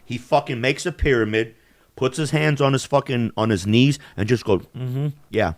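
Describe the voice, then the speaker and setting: A man's voice: mid-range voice, normal speed, dry recording, clean studio sound. A man co-hosting a podcast, telling stories in crude, profane casual chat. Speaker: podcast co-host.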